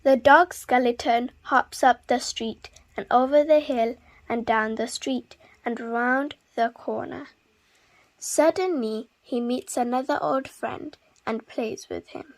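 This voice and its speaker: A woman with a fairly high voice reads a picture book to young children. She takes her time, pacing each phrase slowly and leaning dramatically on repeated words. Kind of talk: expressive read-aloud storytelling for young children.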